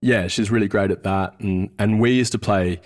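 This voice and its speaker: Hesitant Australian man, lowish voice. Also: fairly even intonation, moderate pace, a little mellow. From Australia, born in New Zealand. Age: 30s. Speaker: podcast guest. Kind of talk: casual interview chat.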